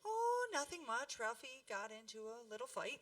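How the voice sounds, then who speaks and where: A woman playing a patient, chipper housewife mother in a radio play, with an expressive, coaxing manner. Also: on the high side, normal speed, distant mic. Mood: breezy, covering up.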